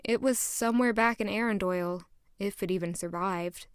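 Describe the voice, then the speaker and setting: A woman's voice: medium pitch, moderate pace, clear, resonant tone, no room sound, studio quality, natural voice. An actress narrating as a young female castle maid, in an earnest, introspective first-person way. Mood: reflective. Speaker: narrator and lead character.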